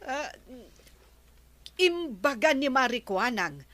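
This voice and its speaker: A woman's voice: high voice, very animated, takes it slowly, bright, forceful tone, full character voice. A woman with an Ilocano accent playing a firm, questioning villager in a radio comedy drama. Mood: probing.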